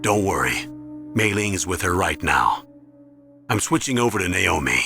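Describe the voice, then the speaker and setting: Low-voiced, expressive commander. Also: male voice, normal speed, vocal fry, soft background music, exaggerated voice. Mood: reassuring. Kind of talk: video game voice acting.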